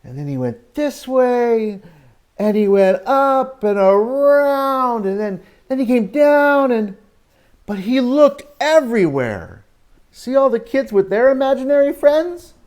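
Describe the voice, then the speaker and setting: Animated man reading a slow-paced children's story. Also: very high voice, clear, resonant tone, highs cut off. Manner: expressive, drawn-out story lines with exclamations and questions to listeners. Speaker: teacher reading a picture book to young children. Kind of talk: animated read-aloud storytelling with asides to children.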